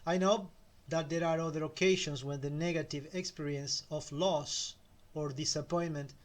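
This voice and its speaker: A middle-aged man with a Spanish accent, a native Spanish speaker, giving a slow, measured motivational talk with pauses. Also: a little high, steady tone. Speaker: self-help speaker.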